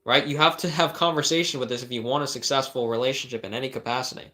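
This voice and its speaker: A man's voice: medium pitch, steady tone, fast talker, sharp tone. A young man, a life coach, reading viewers' questions on a livestream and answering with blunt, direct advice and rhetorical questions.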